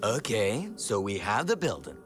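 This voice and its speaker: A man's voice: fairly low pitch, wildly swooping pitch, moderate pace, a bit bright, soft background music. A man voicing a grumbling, sarcastic wisecracking sidekick in an audio drama. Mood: sarcastic, skeptical.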